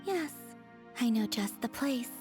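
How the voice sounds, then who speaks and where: A woman voicing a serene, gentle young moon goddess in a video game, speaking in a soft, composed, unhurried manner. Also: fairly high pitch, animated, music in the background. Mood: calm, gentle.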